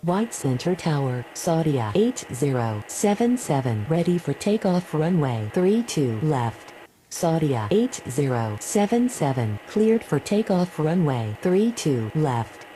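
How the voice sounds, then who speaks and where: An actress plays a female airport controller with a low, mellow voice. Her intonation is lively as she gives formulaic radio calls. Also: takes it slowly, slight background noise, full character voice, rough recording. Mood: businesslike. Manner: procedural, formulaic. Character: procedural female controller and pilot. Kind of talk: scripted aviation radio phraseology.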